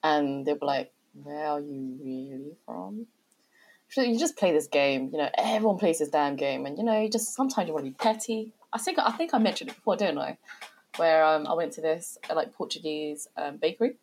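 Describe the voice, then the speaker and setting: Woman, low voice, animated podcast storyteller who voices the people in her anecdotes. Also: brisk, a little hiss or hum. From London. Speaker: podcast co-host. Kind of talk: casual podcast chat.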